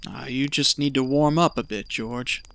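An actor playing a greedy, callous treasure hunter in an audio drama, talking in a scheming, folksy, persuasive way. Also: male voice, mid-range voice, moderate pace, gravelly, a bit bright. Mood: brisk, bossy.

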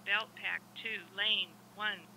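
A man's voice: high-pitched, flat delivery, slow pace, creaky, pushed and bright. A man's automated voice prompt giving short status announcements through a small headset speaker. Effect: small headset speaker picked up by a mic. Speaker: device voice prompt. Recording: noisy capture from headset earpiece.

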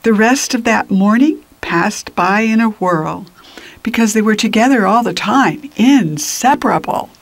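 An older woman reading a children's picture book aloud in an expressive, deliberate manner that drops into whispers, with little asides to the listeners. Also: medium pitch, creaky. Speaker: children's storybook reader.

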